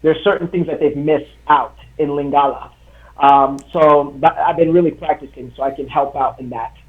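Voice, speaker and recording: A man's voice: a little high, fairly even intonation. Young Congolese man on a tinny line. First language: French. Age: young adult. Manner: earnest, halting, many fillers and restarts. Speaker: guest, author. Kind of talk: live online interview. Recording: tinny video call line.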